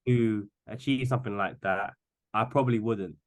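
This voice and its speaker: A man's voice: lowish voice, normal speed. Guest, male, twenties, casual and rambling, lots of "like" and "you know". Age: 20s. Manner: rambling, many restarts and fillers. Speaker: podcast guest. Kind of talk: casual chat.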